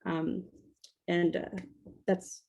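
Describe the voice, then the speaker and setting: A woman's voice: fairly low pitch, level tone, drawn-out words, vocal fry, darkish tone, rough recording. A woman from Washington, D.C. speaking as a panelist, hesitant, with many fillers and restarts. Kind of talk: panel discussion, advocating.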